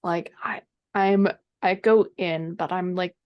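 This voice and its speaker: A woman playing a tabletop roleplaying game, improvising casually in a halting, hesitant way. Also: lowish voice, steady tone, lo-fi sound.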